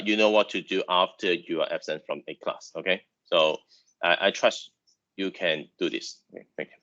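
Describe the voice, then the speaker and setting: A man's voice: low voice, a bit bright, highs cut off. A man whose first language is Chinese, lecturing online about engineering, who halts and repeats words with many fillers.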